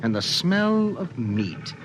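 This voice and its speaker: An actor playing an intense, brooding storyteller, narrating in a dramatic, literary 1950s radio style. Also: male voice, medium pitch, big pitch swings, slow, heavy background noise. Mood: ominous, vivid. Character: intense, brooding storyteller of an ominous science fiction tale.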